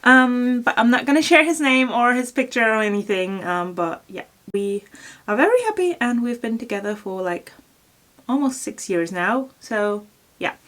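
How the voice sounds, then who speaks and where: A woman's voice: a little high, animated, normal speed. A woman from Germany with a German accent answering viewer questions in a casual fashion vlog, chatty and full of ums and likes. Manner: chatty, many ums and likes, laughs softly. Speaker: fashion YouTuber.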